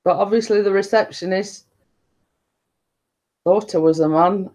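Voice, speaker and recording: A woman with a deep-toned voice talks slowly and unhurriedly to viewers on a live stream. She meanders through her story, leaning on stock phrases and circling back to the same point. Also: warm tone. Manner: chatty, meandering, repeats stock phrases and laughs often. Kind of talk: rambling casual chat.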